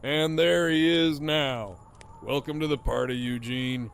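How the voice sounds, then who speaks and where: A man playing a cocky, teasing, foul-mouthed prisoner in an audio drama, with a smug, sardonic drawl and a gravelly voice. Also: mid-range voice, fairly even intonation, measured pace, a little hiss or hum. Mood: smug, needling. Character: cocky, teasing, profane male prisoner with a grin.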